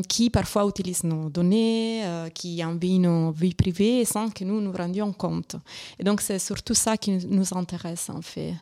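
Woman, Italian accent, non-native French speaker, guest in a live radio interview, hesitant delivery full of fillers, mid-pitched voice. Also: a little mellow. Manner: hesitant, many fillers and restarts. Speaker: guest from an arts organisation.

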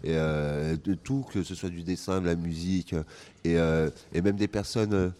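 Man, low dark voice, enthusiastic, repeats himself. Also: steady tone, moderate pace. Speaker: interviewee, squat resident. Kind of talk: casual interview answer.

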